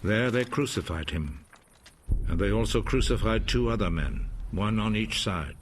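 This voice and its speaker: A man narrating scripture for a film in a measured, solemn manner. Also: on the low side, animated, noisy background.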